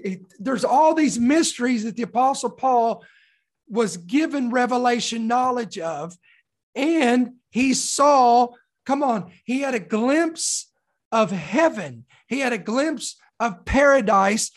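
A preacher with a slightly raspy, mildly hoarse voice, giving an expository sermon and reading scripture with deliberate emphasis on key words. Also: medium pitch. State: mildly hoarse from sore throat.

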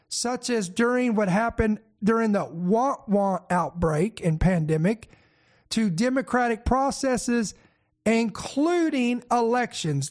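Raspy, congested high-voiced male host. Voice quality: raspy, congested from a head cold. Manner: energetic, emphatic preacher-style delivery with fillers. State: fighting a head cold. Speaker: host and pastor. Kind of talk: news commentary show.

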